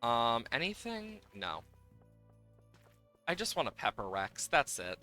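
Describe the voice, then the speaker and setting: Bright, animated-talking man streaming games. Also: mid-range voice, slow, soft background music. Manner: playfully exasperated, swearing, exclaiming and talking to the game. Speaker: livestreamer. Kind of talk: casual gaming livestream commentary.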